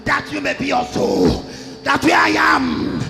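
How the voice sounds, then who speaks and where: Shrill, shouting prophet man over church music. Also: huge pitch swings, a little slow, gravelly. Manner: shouting, repeating each phrase, wailing. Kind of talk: ecstatic prophetic utterance in the voice of God. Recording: echoey hall with music and crowd.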